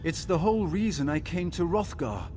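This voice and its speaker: An actor voicing an earnest, inexperienced young male scribe in a video game, anxious and halting as he reassures himself. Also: a little high, lively intonation, darkish tone, lightly acted. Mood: earnest, determined. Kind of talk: video game character acting. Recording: reverberant game hall with music.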